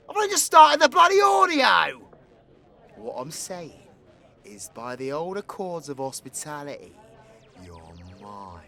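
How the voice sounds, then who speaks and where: A man's voice: sits high, a bit bright. A man playing a cocky, crude, flirtatious British supervillain in an audio roleplay, brash, teasing and theatrical with slangy, sweary talk.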